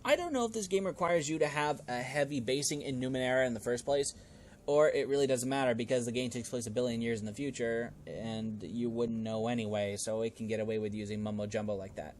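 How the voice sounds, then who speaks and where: A man playing through a role-playing game, reading its text aloud and adding casual, expressive commentary of his own. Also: medium pitch, a little fast, slight background noise. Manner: expressive, reacting out loud to the game. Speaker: gaming video host playing a role-playing game.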